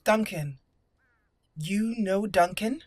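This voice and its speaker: A woman voicing a haughty, formal female elf mage in a role-played fantasy video game, with measured speech. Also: medium pitch, no room sound, studio quality. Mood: curious, formal. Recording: game music clearly behind the voice.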